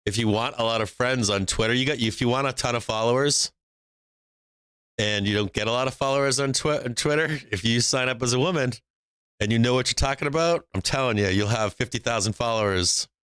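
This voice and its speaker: A man's voice: mid-range voice, a little fast, a bit bright, smooth, no room sound, studio quality. A man from Boston hosting a live stock trading stream, brash and joking, laughing often between calls. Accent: faint Boston. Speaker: stock market livestream host.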